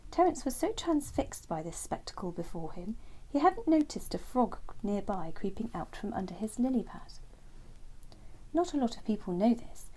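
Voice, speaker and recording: A woman narrating a bedtime story for children, gentle and expressive, with phrases often trailing into a hush. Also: a little high, husky.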